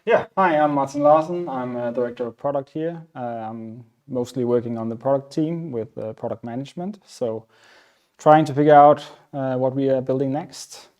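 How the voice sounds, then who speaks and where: A man's voice: medium pitch, normal speed, a little mellow, dull audio. A man from Denmark with a Danish accent, speaking informally as a product director on a webinar panel. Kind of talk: informal self-introduction on a webinar.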